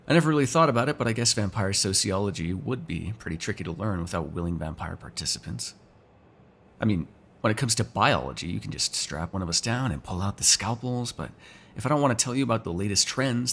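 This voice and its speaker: A man's voice: on the low side, animated, brisk, vocal fry, a bit bright, husky, full character voice. A man playing a sardonic, teasing, foul-mouthed vampire in an ASMR roleplay, talking casually to one listener. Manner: casual, teasing, sarcastic, swears freely.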